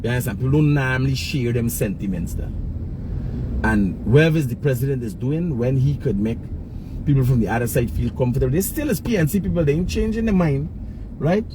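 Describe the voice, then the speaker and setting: A man's voice: mid-range voice, animated, a little fast. Guyanese man streaming live from a car. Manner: colloquial, opinionated, repeats phrases for emphasis. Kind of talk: casual live commentary while driving. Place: in a moving car on the road. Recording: noisy in-car recording with road sounds.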